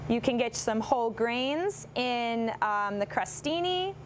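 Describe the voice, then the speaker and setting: A woman's voice: on the high side, takes it slowly, a little hiss or hum. A young woman presenting a cooking demonstration in a large studio kitchen, explaining each step steadily with a few ums. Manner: instructive, steady, with occasional ums. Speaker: nutrition segment presenter.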